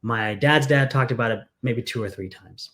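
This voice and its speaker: A man talking through an interview in an earnest, storytelling way, often pausing on "uh" as he goes. His voice sits at an ordinary middle pitch for a man. He grew up in England. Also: fairly bright tone. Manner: earnest, storytelling, frequent fillers. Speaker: congressional candidate. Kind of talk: conversational interview.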